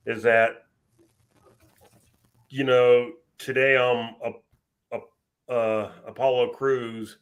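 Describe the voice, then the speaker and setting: A man's voice: medium pitch, fairly bright tone. A man co-hosting a podcast, rambling and thinking aloud with plenty of fillers in casual chat. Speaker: podcast co-host.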